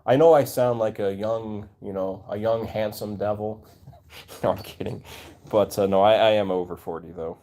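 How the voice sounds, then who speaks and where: Rambling man in his forties, low voice. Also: fairly even intonation, dark tone. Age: 40s. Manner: rambling, halting with many fillers and restarts; talks fondly to his cats between comments. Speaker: cat channel livestream host. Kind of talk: casual livestream chat reading viewer comments.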